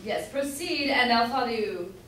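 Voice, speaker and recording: A woman's voice: a little high, lively intonation, moderate pace, fairly bright tone. A woman playing a courteous, deferential attendant in an amateur staged reading, speaking formal archaic English. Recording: very echoey room, distant mic, faint noise.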